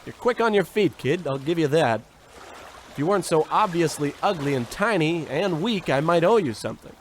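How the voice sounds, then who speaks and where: A man's voice: a little high, lively intonation, normal speed, slight background noise, lightly acted. A man playing a pompous, self-absorbed, insulting adventurer in an old-time radio comedy. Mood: incredulous. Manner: pompous, bossy, theatrical.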